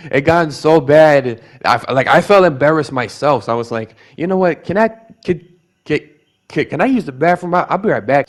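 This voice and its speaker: A young man from the Bronx with a Bronx New York accent and Spanish as his first language, telling a rambling, animated story in a casual vlog, full of restarts and repeated words. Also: medium pitch. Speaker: vlogger.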